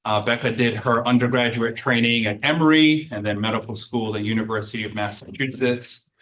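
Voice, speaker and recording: Halting man opening a talk, echoey room. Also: mid-range voice, fairly bright tone, slight background noise. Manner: halting, with many ums and uhs. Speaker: event host. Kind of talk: opening remarks at an academic talk. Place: university seminar room.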